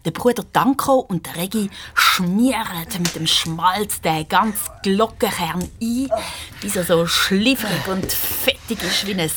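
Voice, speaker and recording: A woman's voice: mid-range voice, a little slow, crowd noise. A woman with a Swiss accent narrating a children's story in a playful, dramatic way.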